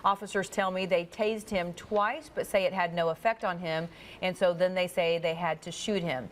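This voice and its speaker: A woman anchoring a local evening newscast, delivering updates and breaking news in a steady, measured way. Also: fairly low pitch. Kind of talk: live local newscast reading.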